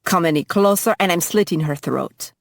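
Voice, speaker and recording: A woman's voice: lowish voice, normal speed, gravelly, no echo, clean studio sound. Woman doing a hissing vampire kidnapper. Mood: menacing. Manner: hissing, threatening. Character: cold menacing male vampire captor.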